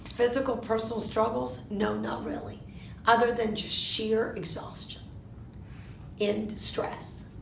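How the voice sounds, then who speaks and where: Argentine-born woman in her fifties, gravelly. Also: on the high side, roomy sound, slight background noise, low-quality recording. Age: 50s. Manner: measured, asks herself questions and answers them. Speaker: interviewee, lawyer. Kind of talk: reflective interview answers.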